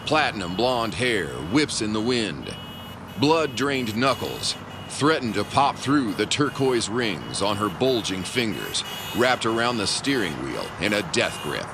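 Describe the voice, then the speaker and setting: An actor playing a grandiose serial announcer, narrating melodramatically with theatrical pauses. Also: male voice, fairly low pitch, big pitch swings, noisy background. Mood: melodramatic. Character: bombastic male serial adventure announcer. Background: music.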